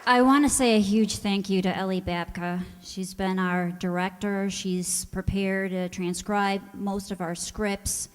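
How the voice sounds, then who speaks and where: A woman's voice: mid-range voice, fairly even intonation, unhurried, few pauses, smooth. Woman speaking in a reverberant hall. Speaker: radio players' member giving thanks from the stage. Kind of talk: live thank-you address to an audience. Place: large indoor township auditorium, on stage. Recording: echoey auditorium with long reverb.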